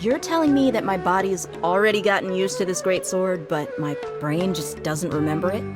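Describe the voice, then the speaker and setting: A woman's voice: on the low side, normal speed, over music, lightly acted. A woman playing a confident, sceptical swordfighter in a video game, with a blunt, casual delivery. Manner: blunt, casual, self-assured. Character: confident, sceptical, plain-spoken female swordfighter. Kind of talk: video game cutscene acting.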